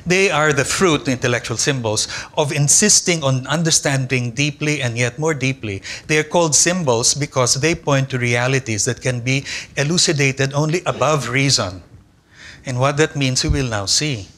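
Older man giving a measured academic lecture. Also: medium pitch, fairly bright tone. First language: Tagalog. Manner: measured, deliberate reading with careful pauses. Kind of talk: academic conference lecture read from a text. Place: large lecture hall with an audience. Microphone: lectern microphone.

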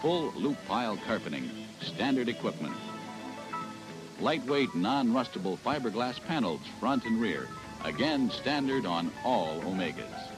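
A man's voice: lowish voice, big pitch swings, measured pace, low-quality recording. An American man narrating a scripted 1970s promotional sales film in a polished announcer's delivery. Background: music.

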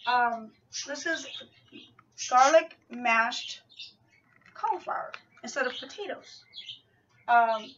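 A woman's voice: a little high, slow, long pauses, fairly bright tone. A middle-aged woman vlogging casually in her home kitchen, talking in a rambling, chatty way and often correcting herself mid-sentence. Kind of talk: casual grocery haul vlog. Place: small home kitchen, indoors. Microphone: camera mic from a few feet away. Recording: distant, dull lo-fi camera audio.

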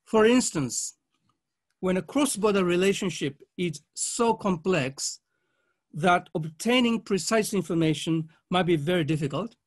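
High-pitched man giving a formal academic talk. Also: lively intonation. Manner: measured, halting delivery in short phrases. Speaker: conference speaker, legal academic. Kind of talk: formal academic conference presentation read with slides.

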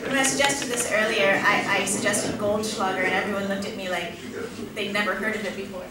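A woman's voice: mid-range voice, steady tone, a little fast, a loud crowd. A young woman hosting an event in a chatty, informal way at a lectern in a wood-panelled hall, filmed from far off. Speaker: event host. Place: wood-panelled hall, large indoor room. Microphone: lectern mic, far camera. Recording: echoey hall from far off, noisy.

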